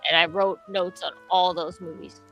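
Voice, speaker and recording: Male co-host, mid pitch, bright tone, rambling with fillers, faint music behind. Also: steady tone, normal speed, vocal fry, poor audio. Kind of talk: casual chat.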